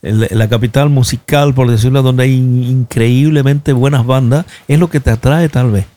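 A man's voice: medium pitch, steady tone, moderate pace, mellow. A man hosting a conversational radio interview, speaking familiarly and prompting his guest with questions. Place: radio studio.

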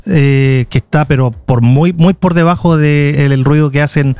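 A man's voice: fairly high pitch, mellow, clear, resonant tone, dry recording. Chilean man, chatty tour guide. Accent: Chilean Spanish. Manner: chatty, restarts often, draws out pauses. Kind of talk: casual conversation.

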